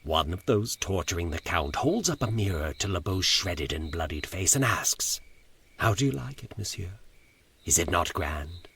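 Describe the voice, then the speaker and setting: A man's voice: deep voice, sing-song, moderate pace, gravelly, a bit bright, breathy edge, exaggerated voice. A man voicing a sly, sadistic cloaked stranger in a horror story, speaking in a taunting, dreamy, commanding manner. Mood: savoring, intense. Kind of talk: horror audio story dialogue.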